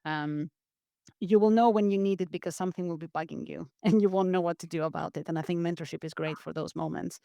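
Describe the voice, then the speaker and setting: A woman from Greece speaks as a guest on a podcast, earnest and reflective, often repeating words as she restarts a thought. Her voice is smooth and resonant, and the recording is close and dry, with no room sound. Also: fairly low pitch, steady tone, fast, dark tone, no room sound. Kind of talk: reflective interview talk.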